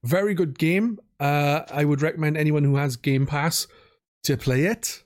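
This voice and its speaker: Casual, higher-pitched man hosting a podcast. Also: normal speed. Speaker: podcast host. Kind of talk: casual podcast chat about video games.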